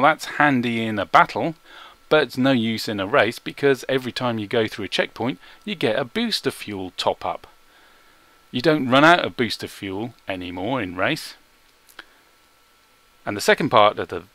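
A man giving measured, explanatory video game commentary in a self-deprecating way, recorded as a close-mic voice-over. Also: medium pitch, a bit bright, dry recording. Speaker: gaming video creator.

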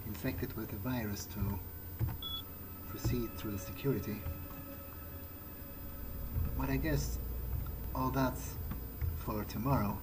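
A man roleplaying a polite, pensive vampire in a video game, thinking aloud in a hesitant, measured way. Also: medium pitch, fairly even intonation, background noise, natural voice. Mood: musing. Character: polite, pensive male vampire musing to himself. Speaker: gaming video creator playing in character. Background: loud game music.

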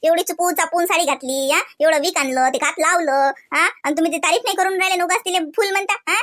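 Woman doing fussy protective cartoon sister-in-law, really high voice, coaxing and insistent, varhadi marathi accent. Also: fast talker, a bit bright. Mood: scolding. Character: reassuring, fussing sister-in-law, affectionate and protective. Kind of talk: comedy cartoon dialogue.

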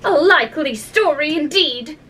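A woman's voice: extremely high pitch, animated, slow, sharp tone, far from the mic, voice pushed up, exaggerated voice. A woman voicing an exasperated, long-suffering mother pigeon in a storybook, complaining and scornful. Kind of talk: storybook character voice.

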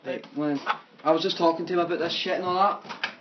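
A man's voice: fairly high pitch, steady tone, quick pace, muffled recording, noisy background. A young man making a casual prank call, talking in a taunting, repetitive, slangy way on a device microphone. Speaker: prank caller.

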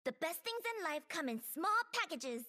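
A woman's voice: very high-pitched, very animated, normal speed, sharp tone, exaggerated voice. A young woman voicing a cocky, playful female pro gamer and mech pilot in a video game, with a chirpy, girlish voice and cutesy, taunting catchphrases. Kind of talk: video game character voice lines.

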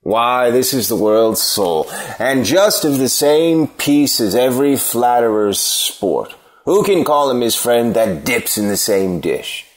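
A man playing a solemn, moralizing stranger, declaiming in a measured way in a Shakespeare play reading. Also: medium pitch, lively intonation. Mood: grave disapproval. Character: grave, moralizing male stranger condemning ingratitude.

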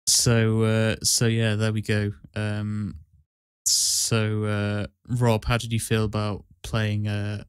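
A man's voice: lowish voice, monotone, slow, clear, resonant tone, no room sound, studio quality. A man hosting a podcast in a casual, chatty style. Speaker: podcast host. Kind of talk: casual chat.

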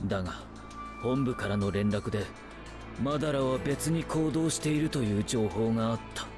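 A man voicing a serious, composed young ninja commander in an anime game, stern and deliberate. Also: medium pitch, close to an everyday voice. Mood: serious, analytical. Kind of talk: Japanese anime game voice acting. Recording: background music bed.

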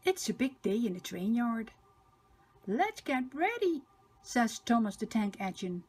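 An older woman narrating a picture book for small children, expressive and emphatic with sing-song repetition. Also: mid-range voice, normal speed, husky. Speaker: storybook reader for children.

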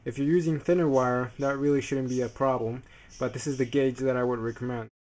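A man presenting a hands-on DIY tutorial in an informal, halting way, correcting himself as he explains. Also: medium pitch, fairly even intonation, a little mellow.

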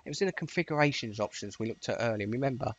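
A man giving a casual, rambling software tutorial in an informal, chatty way as a voice-over. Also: medium pitch, animated, very fast, no echo, dull audio. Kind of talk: casual screen-share tutorial walkthrough.

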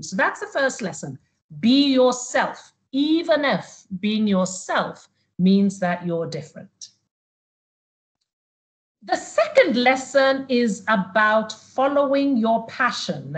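A middle-aged woman with a slightly high voice speaks to young people on an online call. She is from Uganda and talks as a motivational storyteller, repeating words to drive them home. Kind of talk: webinar talk to young people.

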